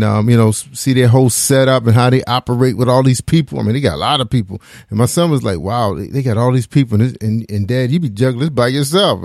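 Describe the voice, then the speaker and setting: A man's voice: medium pitch, creaky, a little mellow, no room sound, studio quality. A man hosting an internet radio talk show in a casual, informal conversational style, often repeating his phrases.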